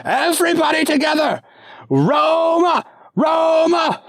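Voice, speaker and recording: A man voicing a giddy, childish Roman emperor, shrill and gleeful. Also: very animated, unhurried, slightly rough, no room sound, studio quality, full character voice. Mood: insistent.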